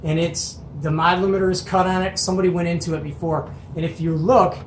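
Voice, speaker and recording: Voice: medium pitch, fairly even intonation, quick pace. A host doing a casual hands-on show and tell, enthusiastic and colloquial, with folksy exclamations. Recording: echoey room with faint noise.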